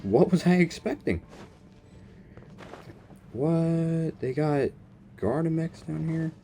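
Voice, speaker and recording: A man giving casual, informal reaction commentary while playing a game, expressive and full of muttered asides. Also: on the high side, takes it slowly, creaky, slight background noise. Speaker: gaming content creator. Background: faint game music.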